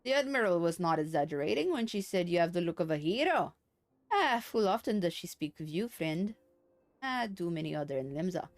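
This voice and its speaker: A woman voicing a courtly, flattering military recruiting officer from a fantasy game, formal and earnest. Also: on the low side, a little fast, no room sound. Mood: admiring, rallying. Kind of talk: video game dialogue read aloud. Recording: game music behind the voice.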